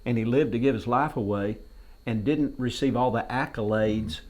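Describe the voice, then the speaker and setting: A man's voice: medium pitch, moderate pace, darkish tone, poor audio. A man hosting a TV talk show, asking guests questions in a folksy, joking way. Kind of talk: casual TV interview. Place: television studio.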